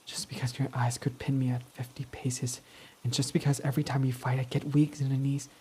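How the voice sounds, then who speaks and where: A man's voice: mid-range voice, little pitch movement, a little fast, dark tone, slight background noise, close to an everyday voice. A man voicing a proud, defensive young male villain in an ASMR roleplay who swears when flustered, over faint rain. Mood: flustered, teasing. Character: proud tsundere young male villain, gruff but smitten.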